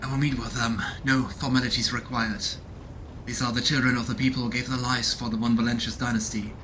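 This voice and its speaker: A man voicing a noble, considerate starship captain from a video game in a measured, dignified manner. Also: medium pitch, level tone, a bit bright, a little hiss or hum, close to an everyday voice, low-quality recording. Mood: calm, dignified.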